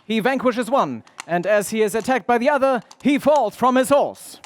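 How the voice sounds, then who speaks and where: A man's voice: very high voice, normal speed, slight background noise. A man narrating an adventure radio serial in a theatrical, suspenseful scripted style.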